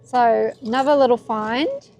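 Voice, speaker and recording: A woman's voice: a little high, unhurried, a little mellow, faint music behind. A woman co-presenting casually and tentatively while searching outdoors on a river foreshore. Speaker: co-presenter of a mudlarking video.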